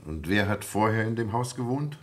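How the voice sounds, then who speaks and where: An actor playing a brusque, authoritative French police prefect who questions witnesses curtly in a German radio play. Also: male voice, fairly low pitch, animated, normal speed, lightly acted. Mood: curt, businesslike. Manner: curt, commanding, interrogating.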